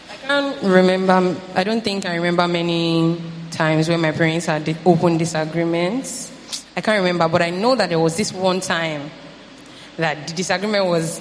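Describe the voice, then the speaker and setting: A young adult telling a personal family story, animated when quoting family members. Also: medium pitch, slight background noise. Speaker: panel participant. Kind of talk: casual sharing. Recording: hall reverb with faint ambience.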